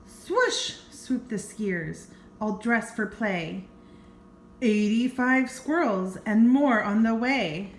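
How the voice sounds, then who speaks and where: Slow-reading older woman, expressive storyteller. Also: fairly high pitch, a little hiss or hum. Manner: expressive, exclaiming, gasping. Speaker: grandmother reading a picture book to children. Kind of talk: animated read-aloud storytelling with chatty asides. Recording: roomy, echoing home recording.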